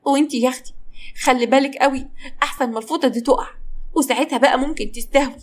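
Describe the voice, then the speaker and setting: A proud, wounded woman in a dramatized story, acted by a female narrator, speaks high and fast. She scolds with bitter sarcasm and cutting mockery. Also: fairly even intonation. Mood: mocking.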